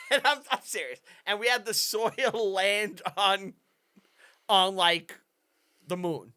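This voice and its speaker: A man co-hosting a casual podcast, excitable and laughing through his own ideas. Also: sits high, slow pace, long pauses, clear, resonant tone. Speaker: podcast co-host.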